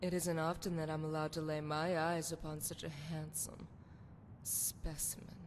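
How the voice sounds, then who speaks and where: A long reverb surrounds a seductive game priestess, played by a woman, with clear rumbling noise behind her. Her voice sits fairly low for a woman. She speaks in a sultry, flirtatious way, poetic and a little theatrical. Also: little pitch movement, moderate pace, natural voice. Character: seductive, flirtatious adult priestess of a love goddess. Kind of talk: scripted video game character dialogue. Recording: long hall reverb, noisy rumbling background.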